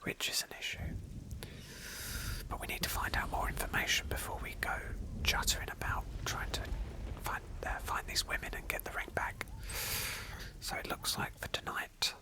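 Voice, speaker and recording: A woman whispering gently as she narrates an ASMR roleplay of a video game in a storytelling manner. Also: moderate pace, rough recording. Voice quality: breathy whisper. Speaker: host of a roleplay game playthrough.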